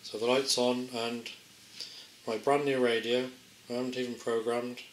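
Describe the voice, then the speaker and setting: A man's voice: mid-range voice, little pitch movement, moderate pace. A man giving a casual, unscripted hands-on product demonstration, thinking aloud in a hesitant, understated way. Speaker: amateur product tester presenting a gadget. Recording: roomy echo, faint background noise, clicks.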